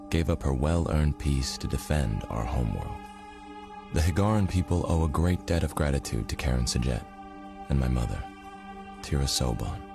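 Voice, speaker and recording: A man playing a solemn narrator of a space saga in a game cutscene, grave and measured. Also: a bass voice, vocal fry, exaggerated voice.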